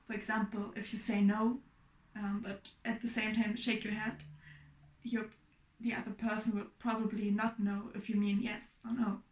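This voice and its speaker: A young woman from Germany giving a clear, explanatory class presentation as a student. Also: mid-range voice, level tone, echoey room, poor audio. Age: young adult. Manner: clear, explanatory, measured with fillers.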